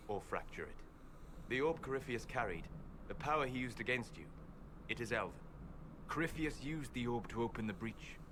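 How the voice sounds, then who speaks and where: An actor playing a solemn, learned male elven mage in a fantasy game, speaking in a measured, formal and deliberate way. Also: on the low side, background noise, natural voice. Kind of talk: scripted fantasy game dialogue.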